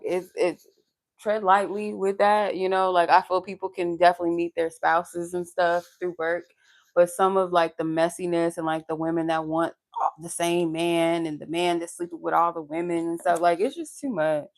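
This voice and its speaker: A young adult woman with a soothing, pleasant voice, chatting casually and candidly with profanity and laughter on a live stream as a tarot reader. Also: lowish voice. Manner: laughs while talking.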